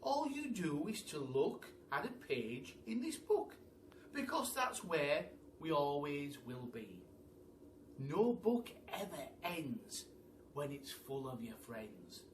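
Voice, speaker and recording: A man's voice: on the high side, very animated, slow pace, slight background noise. A man voicing a sentimental male monkey saying a lilting farewell in a children's storybook. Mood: wistful, tender. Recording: roomy echo, distant mic.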